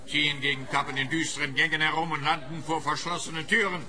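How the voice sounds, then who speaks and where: A man's voice: a little high, steady tone, fast, over music, lightly acted. An actor playing a pompous, pedantic policeman in a comic radio detective play, with a lecturing manner. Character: pompous, pedantic male police officer, self-satisfied.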